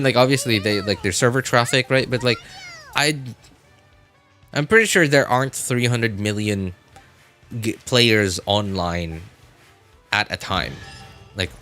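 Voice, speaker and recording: A man's voice: mid-range voice, a bit bright. A man livestreaming games, chatting casually with viewers in a rambling, hesitant way, often backtracking and hedging. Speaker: game livestreamer.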